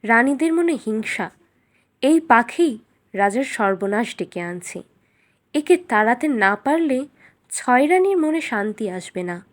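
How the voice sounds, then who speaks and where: Woman, storyteller, fairly high pitch, scripted children's fairy tale narration. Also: sing-song, a little slow, long pauses.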